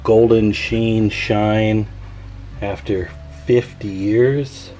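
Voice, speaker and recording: A man's voice: fairly low pitch, steady tone, extremely slow, mellow, rough recording. A man giving a casual, chatty show-and-tell as a radio repairer and video host, folksy and enthusiastic.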